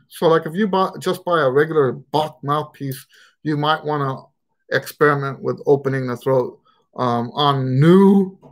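A middle-aged man, a trumpet teacher, answering questions in a casual live stream from his living room on a webcam, rambling and restarting his sentences. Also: medium pitch. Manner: rambling, frequent restarts and stammered repeats. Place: living room at home, indoors. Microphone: webcam at desk distance.

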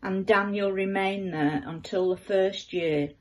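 An older woman, around her seventies, reading aloud from the Bible in a measured manner at home. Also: mid-range voice, fairly even intonation, a little hiss or hum. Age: older, about 70s. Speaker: scripture reader. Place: living room at home.

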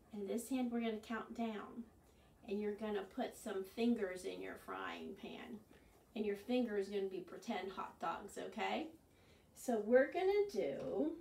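A woman in her sixties presenting a children's storytime, expressive and often prompting the children with questions. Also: mid-range voice, creaky, slight background noise. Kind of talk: children's storytime, singsong chanting and read-aloud. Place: small furnished living room, indoors. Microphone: camera a few metres away. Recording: roomy, distant camera microphone.

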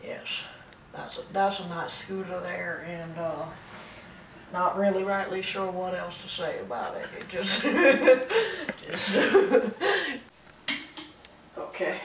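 A woman in her thirties hosting a comedic home cooking show, rambling in a deadpan way and laughing often, filmed in a small kitchen on a camera mic. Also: lowish voice, slow, pauses often. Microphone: camera mic from across the room. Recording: roomy, distant, noisy home recording.

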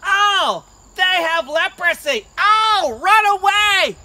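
High, loud, disgusted jeering kid played by a storyteller. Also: expressive, takes it slowly, heavily acted. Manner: squealing exclamations. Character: disgusted, jeering young kids in a children's tale. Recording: outdoor recording with insect noise.

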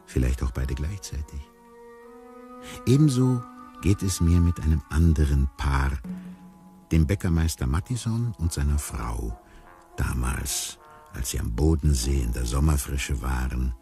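An actor playing a circus tiger who narrates a radio play, pensive and measured, addressing the listener. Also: male voice, rumbling low, warm tone, exaggerated voice. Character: circus tiger narrator musing on his own identity.

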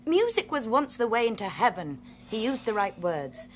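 An actress playing a principled, troubled scientist, earnest and articulate. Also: female voice, on the high side, very animated, normal speed, slight background noise. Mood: troubled, reproachful.

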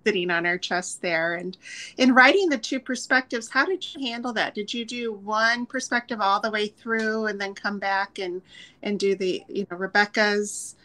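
A woman's voice: medium pitch, low-quality recording. A middle-aged woman co-hosting a book club over a video call from home, asking questions with many fillers. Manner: warm, halting with fillers. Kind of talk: interview questions. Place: home room.

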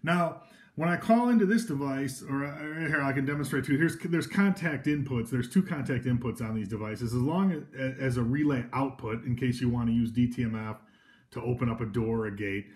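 A man's voice: medium pitch, brisk. Casual man demoing gear off camera. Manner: casual, loose, with frequent fillers and restarts. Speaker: equipment demonstrator. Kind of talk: informal product demo. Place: indoors at a table of devices.

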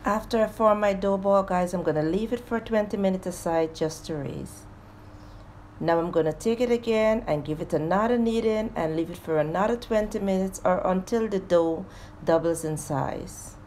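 A woman hosting a home cooking video, calmly narrating each step of a recipe and speaking to viewers as guys. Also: mid-range voice, normal speed, slight background noise. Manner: plain, steady instructional tone addressing viewers as guys. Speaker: home cooking show host.

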